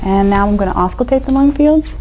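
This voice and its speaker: A woman clinician calmly giving step-by-step instructions to a patient during a demonstration of a physical exam. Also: medium pitch, a little fast. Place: exam room, recorded for video. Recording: clear background noise.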